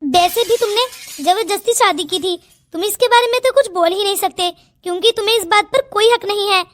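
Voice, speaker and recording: A woman's voice: very high voice, brisk, fairly bright tone. A woman voicing a stern, protective elder cousin who scolds and commands in a cartoon family melodrama. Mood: stern, commanding. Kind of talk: melodramatic cartoon story acting.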